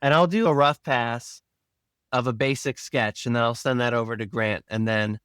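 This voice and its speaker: Smooth-voiced man in a casual interview. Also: mid-range voice, fairly even intonation, normal speed, fairly bright tone, dry recording, studio quality. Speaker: sound designer and podcast guest. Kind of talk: casual behind-the-scenes interview talk.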